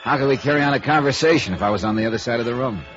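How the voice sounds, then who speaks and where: A man's voice: medium pitch, racing, natural voice. An actor playing a wry, easygoing male insurance investigator in a 1950s radio noir drama, bantering and narrating in a hard-boiled way. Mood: casual, probing. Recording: dull vintage radio recording with music.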